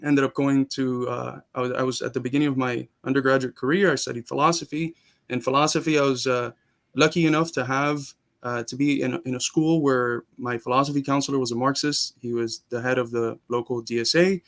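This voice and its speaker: A man's voice: medium pitch, fairly even intonation, brisk. A young man, born in Cuba and raised in Miami, talking earnestly as a guest in a casual interview, with frequent restarts. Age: young adult.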